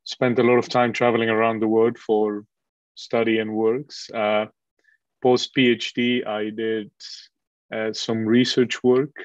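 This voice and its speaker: A man who grew up in Colombia, giving a relaxed online guest lecture over a video call with many fillers and restarts. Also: lowish voice, measured pace, dry recording. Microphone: video call microphone. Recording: dull, dry video call audio.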